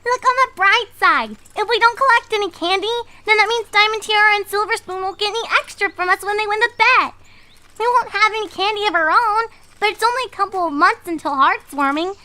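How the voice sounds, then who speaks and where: A gentle little unicorn pony filly, as a woman reader puts her on, squeaky high and bright. She coaxes softly and hopefully. The sound is dry and close. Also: brisk, dry recording. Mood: cheering up. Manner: soft, coaxing, comforting.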